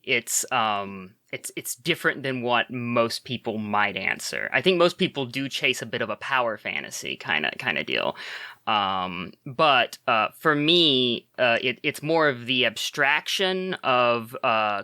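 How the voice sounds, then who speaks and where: Bright, hesitant male podcast guest. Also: mid-range voice, clear, resonant tone, no room sound. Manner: hesitant, many uhs and ums, laughs easily. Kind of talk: casual interview chat. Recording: dry close podcast mic.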